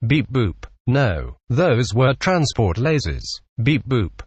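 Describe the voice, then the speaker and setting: A woman's voice: rumbling low, big pitch swings, slow, darkish tone, husky, heavily acted. A woman voicing a polite, earnest male robot crewmate with a stilted delivery in a sci-fi audio comedy. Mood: matter-of-fact. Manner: stilted, bookends speech with robotic beeps. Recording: dull lo-fi sound with faint noise.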